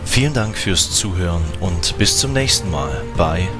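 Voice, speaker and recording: A man giving a slow, scripted sign-off for a podcast. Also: low-pitched, expressive. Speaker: podcast outro announcer. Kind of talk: scripted announcement. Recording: voice with music bed.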